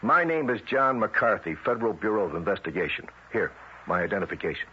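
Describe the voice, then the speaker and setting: An actor playing a tough, suspicious federal agent with a brusque, streetwise way of questioning, in 1970s radio drama style. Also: male voice, lowish voice, expressive, brisk, lightly acted. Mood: exasperated, probing. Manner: brusque, interrogating, streetwise wisecracking. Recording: dull, noisy vintage radio recording.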